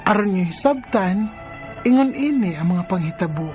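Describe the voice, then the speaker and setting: A woman's voice: mid-range voice, animated, moderate pace, over loud music, natural voice. An actress playing a troubled mother of three in her thirties, with a Bisaya Philippine accent, in a radio drama. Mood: sorrowful narrating. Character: troubled 33-year-old mother of three, hurt by jealous partner.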